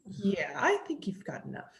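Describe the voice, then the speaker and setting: A woman's voice: mid-range voice, wildly swooping pitch, normal speed, vocal fry. A woman playing a wry, teasing childcare coworker with a dry manner in a dramatic script reading. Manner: dry, sardonic.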